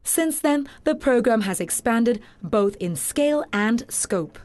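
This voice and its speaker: A woman giving scripted documentary narration in a measured, formal way. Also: a little high, lively intonation, darkish tone.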